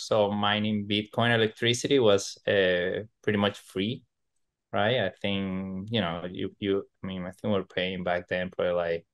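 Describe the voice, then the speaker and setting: A man's voice: on the low side, fairly bright tone, clear, resonant tone. A man from Venezuela, a podcast guest who rambles with lots of fillers and restarts. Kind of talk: casual podcast interview.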